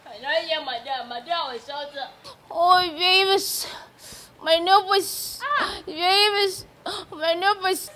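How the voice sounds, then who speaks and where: An actress with a Nigerian accent playing a blabbing, theatrical housemaid in a comedy skit. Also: female voice, high voice, normal speed, a bit bright. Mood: excited, dramatic. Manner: theatrical, repetitive, exclaiming. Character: blabbing, dramatic female housemaid telling on her madam. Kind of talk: broad comedy skit acting.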